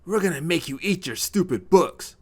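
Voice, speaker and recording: A man's voice: on the high side, animated, moderate pace, a little mellow, breathy edge, voice pushed up. A man voicing a mean, sneering teenage village bully who jeers and threatens in a story. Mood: jeering menace. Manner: jeering, threatening.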